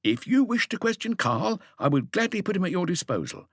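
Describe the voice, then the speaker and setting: A cultured gentleman in his sixties, voiced by a male narrator, speaks politely in a raised, lilting pitch. He is calm and formal, in a dryly recorded audiobook. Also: fairly quick, no room sound, studio quality, full character voice. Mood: calm courtesy. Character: cultured, courteous, wealthy Dutch gentleman of sixty-four.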